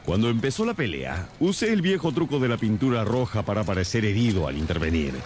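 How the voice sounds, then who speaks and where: A man's voice: fairly low pitch, very animated, brisk, darkish tone, a little hiss or hum. An actor playing a confident, commanding detective in a radio drama, assured and precise as he instructs. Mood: self-assured, explaining. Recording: music behind the voice.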